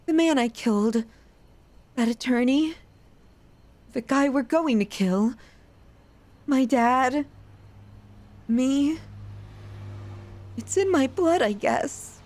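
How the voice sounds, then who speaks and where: A woman playing an obsessive, self-loathing young yandere in an intimate ASMR roleplay, with an emotional, halting, pleading delivery. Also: sits high, lively intonation, darkish tone. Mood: bitter, resigned.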